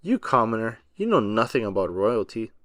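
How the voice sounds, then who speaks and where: A man's voice: lowish voice, very animated, moderate pace, a bit bright, dry recording, clean studio sound, voice pushed down. A man reading aloud and voicing a grumpy, snobbish adult male squid who talks gloomily and scolds.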